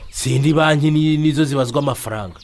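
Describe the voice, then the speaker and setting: Man acting a fast, irritable Rwandan husband. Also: fairly high pitch, darkish tone, natural voice. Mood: angry. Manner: exasperated, argumentative. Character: irritable hard-drinking husband who lost his bicycle. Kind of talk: radio drama acting.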